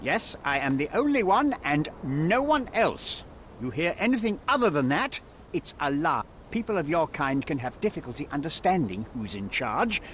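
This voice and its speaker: A man's voice: a little high, big pitch swings, normal speed, fairly bright tone, slight background noise. An actor playing a stern, proud male archaeology professor who lectures and commands in a video game. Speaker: dig site leader.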